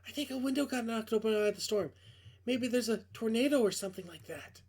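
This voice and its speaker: A man voicing a frightened, hesitant young woman who talks in a hushed, anxious voice in a visual novel. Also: very high-pitched, brisk, voice pushed up.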